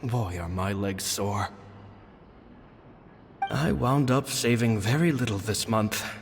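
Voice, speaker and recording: A man voicing a gentle, modest stage magician in a video game, reading his diary in an earnest, reflective way. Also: lowish voice, slow, vocal fry. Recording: long reverb over game music.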